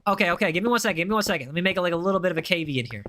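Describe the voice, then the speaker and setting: Bright, high-voiced young male streamer. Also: expressive, rapid-fire. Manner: chatty, repeats himself, talks to chat. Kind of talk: live gaming stream chat.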